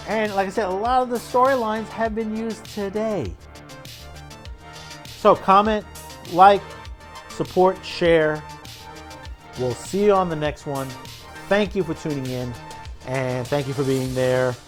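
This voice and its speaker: Higher-voiced man rambling out his opinions. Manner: rambling, repeats himself, emphatic. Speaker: host of an opinion video channel. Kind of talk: casual opinion commentary to viewers.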